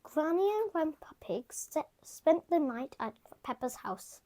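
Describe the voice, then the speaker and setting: A woman reading a children's picture book aloud, gentle and soft-spoken, sometimes dropping to a whisper. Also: high-pitched, animated, a little slow. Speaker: storybook reader. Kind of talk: children's read-aloud narration.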